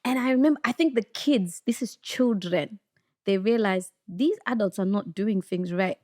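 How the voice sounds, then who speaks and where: A woman in her thirties from Zimbabwe, a guest telling stories with lively energy in a podcast studio. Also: mid-range voice, normal speed. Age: 30s. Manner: lively storytelling, acting out voices. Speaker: guest broadcaster. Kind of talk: casual conversation. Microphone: studio mic.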